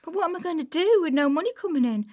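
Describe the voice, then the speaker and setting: A woman's voice: very high-pitched, animated, rapid-fire, a little mellow. A woman playing an anxious, struggling young mother on benefits, pleading and flustered, in a radio play.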